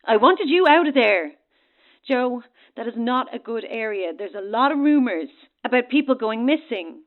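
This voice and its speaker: A seemingly concerned, secretive female friend in a horror audio drama. Her voice is fairly high and comes through a thin, narrow telephone line. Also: expressive, normal speed. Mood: urgent, concerned. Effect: telephone filter.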